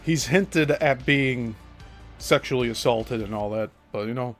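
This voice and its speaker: A man's voice: mid-range voice, a little slow, soft background music. A man hosting a reaction commentary over clips, scornful and profane, mocking the person in them.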